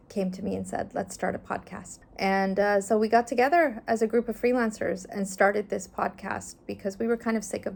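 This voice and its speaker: A woman's voice: mid-range voice, gravelly. A middle-aged woman from Afghanistan, a journalist, talking earnestly and thoughtfully in an interview, with some fillers. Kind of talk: reflective interview answers.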